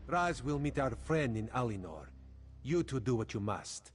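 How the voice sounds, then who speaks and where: A man's voice: mid-range voice, big pitch swings, moderate pace, close to an everyday voice. A man voicing a sly, self-assured cat-folk agent in a fantasy video game, composed in manner.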